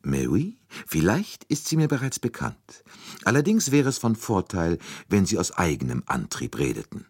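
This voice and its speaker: A man voicing a shrewd, courteous gentleman detective, calm, deliberate and soothing, with bits of French, in an audiobook story. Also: low-pitched, slightly rough, no room sound, studio quality, pitched above the performer's own voice, heavily acted. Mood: calm, probing.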